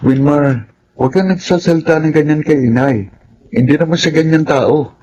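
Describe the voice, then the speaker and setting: An actor playing a kind, understanding teenage son, mild and earnest, with a Filipino accent in a radio drama. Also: male voice, fairly high pitch, moderate pace, mellow, lo-fi sound, slight background noise, close to an everyday voice. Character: understanding, dutiful teenage son, the kinder twin.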